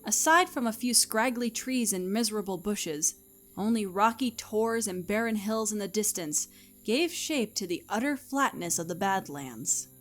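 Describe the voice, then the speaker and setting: A woman reading scripted story narration as the narrator, in an expressive storytelling manner. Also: mid-range voice, normal speed, faint music behind.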